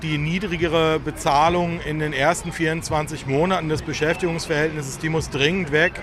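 Man, union official, firm, slightly high voice, outdoors, traffic noise. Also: fairly even intonation, moderate pace. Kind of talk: outdoor news interview.

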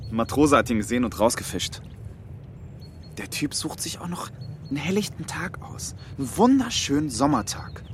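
A man's voice: a little high, huge pitch swings, normal speed, creaky, a little hiss or hum, exaggerated voice. An actor playing a frank, loyal, bookish male friend with a dry manner in a radio drama. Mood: recounting, wry. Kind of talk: radio drama dialogue and narration.

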